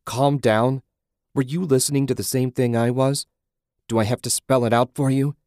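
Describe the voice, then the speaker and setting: A man voicing a furious, grieving woman in an audiobook, vengeful, forceful and profane as the character confronts someone. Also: lowish voice, unhurried, dry recording, studio quality. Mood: enraged, accusing.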